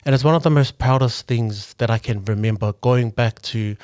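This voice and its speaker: A young man from New Zealand, a guest on a podcast, talking earnestly and reflectively with frequent pauses and restarts. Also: mid-range voice, a little mellow, no room sound, clean studio sound. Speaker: guest, local politician and engineer. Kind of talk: casual podcast conversation.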